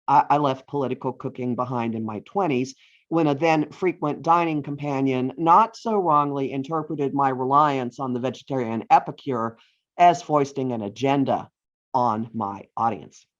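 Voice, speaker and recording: A middle-aged or older woman reading her own humorous essay aloud with deliberate phrasing and wry emphasis, as an author on a video call. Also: very deep voice. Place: virtual author talk over video call. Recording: dull, lo-fi online call audio.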